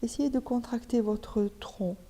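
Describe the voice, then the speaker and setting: A woman leading a guided relaxation as a yoga teacher, giving step-by-step instructions with pauses between them. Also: fairly high pitch, fairly even intonation, warm tone. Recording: long reverberant hall echo.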